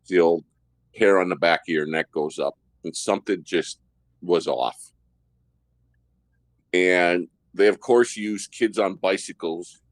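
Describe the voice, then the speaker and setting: A man hosting a podcast, telling a detailed story about his undercover work in a steady way. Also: sits low, no room sound. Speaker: host, former undercover officer.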